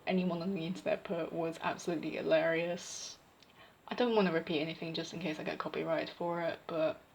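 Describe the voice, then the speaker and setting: A young woman giving her opinions in a casual vlog, rambling with fillers and restarts, her voice slightly croaky. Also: low-pitched, slight background noise. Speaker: vlogger.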